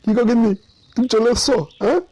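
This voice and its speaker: A man voicing a scheming village husband in a comic cartoon, coaxing and flattering in hurried, repeated bursts. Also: very high voice, soft background music. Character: wheedling scheming village husband who flatters his wife. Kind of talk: comic Bengali cartoon dialogue.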